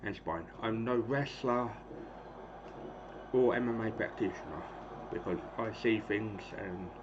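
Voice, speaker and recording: A hoarse man in his thirties casually calling the action of a wrestling game he is streaming, rambling and clearing his throat often. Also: fairly low pitch, a little slow. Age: 30s. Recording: dull lo-fi stream audio with clear noise.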